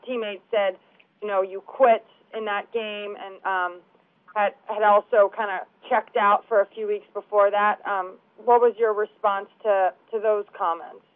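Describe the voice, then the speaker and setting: A woman's voice: medium pitch, steady tone, unhurried. A female sports reporter on a conference call, easing into a tough question with care. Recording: tinny phone line.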